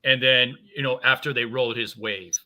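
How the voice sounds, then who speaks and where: A man giving measured commentary in a casual debate, explaining his view with some uh and um pauses. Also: medium pitch, steady tone, pushed and bright.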